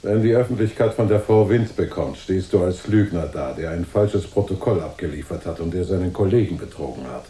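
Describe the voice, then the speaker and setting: An actor playing a shrewd, unruffled police detective in a noir radio drama, measured and knowing in his reasoning. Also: deep voice, mellow, echoey room, a little hiss or hum, natural voice. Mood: calm, firm.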